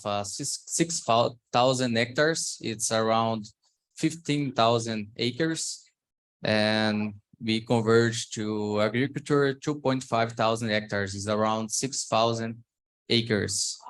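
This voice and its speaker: A man from Brazil speaking English with a Brazilian Portuguese accent, presenting his farm in a halting manner. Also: lowish voice, fairly bright tone. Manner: halting, searching for words in a learned language. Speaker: farmer presenting. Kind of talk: slide presentation on a call.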